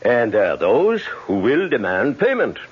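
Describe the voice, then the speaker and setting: A man's voice: medium pitch, huge pitch swings, a little slow, heavily acted. A man playing a suave, fatherly French casino manager who is wary and apologetic, in old-time radio drama acting. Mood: grave, cautioning. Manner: smooth-mannered, hesitant, fatherly. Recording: muffled old radio recording.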